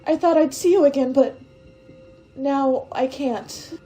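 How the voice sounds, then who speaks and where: Woman acting high-voiced, tender young stowaway woman. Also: takes it slowly, dark tone, faint music behind. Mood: tender, sad. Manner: wistful, hesitant, emotionally earnest delivery. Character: tender, brave young woman stowaway facing her death. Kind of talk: radio drama acting.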